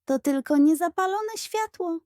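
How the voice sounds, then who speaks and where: A woman acts out a cowardly little koala from a bedtime storybook. The voice is very high and shaky, full of panicky squeaks and moans. He is clumsy and easily frightened, heard up close in a dry recording. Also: lively intonation, measured pace, warm tone, no room sound. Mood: nervous, timid.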